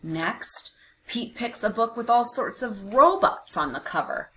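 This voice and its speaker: A woman narrating a picture book for young children, expressive and deliberate, with dramatic emphasis and hushed phrases. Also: a little high. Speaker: children's read-aloud narrator.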